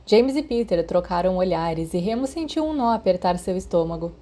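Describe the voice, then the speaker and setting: Mellow-voiced Brazilian woman narrating fiction. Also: fairly low pitch, expressive, moderate pace. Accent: Brazilian Portuguese. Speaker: audiobook narrator.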